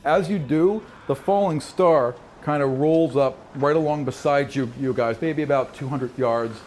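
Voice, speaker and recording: A man's voice: on the high side, unhurried, a little mellow, slight background noise. A man narrating a tabletop role-playing game as game master, moving between scripted description and conversational, improvised scene-setting with repeated phrases.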